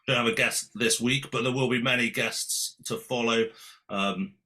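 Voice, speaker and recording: A man hosting a casual podcast chat, with a soft, soothing voice, joking and rambling. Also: mid-range voice, steady tone, normal speed. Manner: jokey, rambling, laughs often. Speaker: podcast host.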